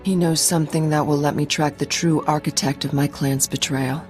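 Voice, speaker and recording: An actress voicing a proud, vengeful clan warrior in a video game, speaking in a formal, solemn and intense way. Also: female voice, low-pitched, fairly even intonation, normal speed, over music, close to an everyday voice. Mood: solemn, resolved. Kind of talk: dramatic video game acting.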